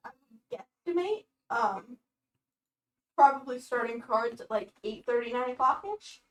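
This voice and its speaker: A woman co-hosting a podcast, chiming in with quick short remarks and frequent giggles. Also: fairly high pitch, lively intonation. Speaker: podcast co-host. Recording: echoey room.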